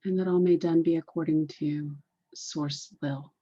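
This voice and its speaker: A woman leading a guided meditation in a deliberate, soothing, repetitive way, on a dull, muffled recording. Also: low-pitched, fairly even intonation, mellow.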